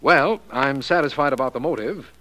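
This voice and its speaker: An actor playing a slightly baffled, easily impressed American district attorney in 1940s radio style, formal, measured and inquisitive. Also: male voice, mid-range voice, bright, forceful tone, close to an everyday voice, rough recording. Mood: inquisitive, satisfied. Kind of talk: 1940s radio drama acting.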